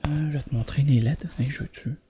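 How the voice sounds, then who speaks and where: A man with a Quebec French accent doing a soft, hushed, reassuring ASMR roleplay as an examiner. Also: medium pitch, steady tone, fast talker, slight background noise.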